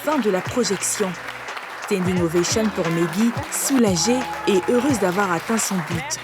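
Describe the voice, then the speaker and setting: A woman narrates a television portrait in a mid-range voice with polished, even delivery. Clear music plays under her narration. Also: medium pitch, moderate pace, crowd noise. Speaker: report narrator. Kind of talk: scripted documentary voice-over.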